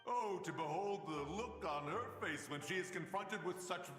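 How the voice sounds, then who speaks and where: A theatrical immortal schemer in a video game, ringing through long reverb. His high, bright voice is mischievous and exultant, drawing out the words. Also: lively intonation, moderate pace. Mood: gleeful, gloating. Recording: reverberant game effect with faint music.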